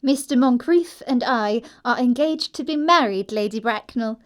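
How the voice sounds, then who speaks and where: Woman playing a high-pitched, earnest young lady. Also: normal speed, close to an everyday voice. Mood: formal. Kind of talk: theatrical stage comedy acting.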